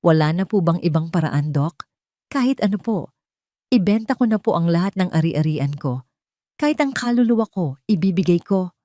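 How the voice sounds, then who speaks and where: A woman's voice: fairly low pitch, expressive, moderate pace, mellow, dry recording, studio quality, natural voice. A woman voicing an anguished, tender single mother pleading for her sick child in an audio drama. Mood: desperate. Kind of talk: Tagalog audio drama storytelling.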